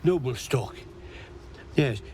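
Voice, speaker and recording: An actor playing a confused, earnest mushroom forager in a video game, speaking in halting fragments that trail off and repeat. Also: male voice, medium pitch, lively intonation, darkish tone, a little hiss or hum. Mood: rambling, eager.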